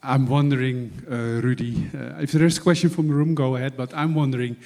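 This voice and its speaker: A man's voice: medium pitch, fairly even intonation, fairly quick, vocal fry, darkish tone, slight background noise. Male moderator with mid-range voice. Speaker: session moderator. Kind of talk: informal conference question moderation.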